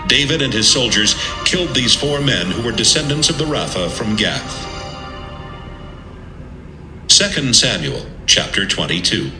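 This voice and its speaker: A man narrating an audio Bible from a script in a measured, serious manner. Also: medium pitch, very animated. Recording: roomy sound with music underneath.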